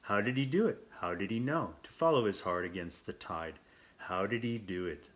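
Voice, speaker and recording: A man's voice: on the low side, animated, normal speed, fairly bright tone. A man voicing an eager, curious young male chick-pig child in a storybook, asking urgent, wondering questions.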